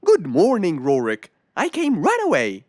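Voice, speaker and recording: Animated, obliging cartoon fox acted by a performer. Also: medium pitch, unhurried. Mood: reassuring. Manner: reassuring, animated. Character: obliging, upbeat fox handyman, adult male. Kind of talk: children's cartoon character acting. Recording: music behind the voice.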